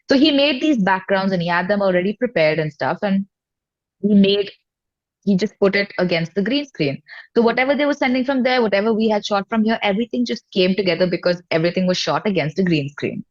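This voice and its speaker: Woman musician just chatting in an interview, medium pitch, candid and informal, sound a bit dull. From India. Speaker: singer-songwriter guest. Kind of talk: casual chat in an interview. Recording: dull lo-fi audio.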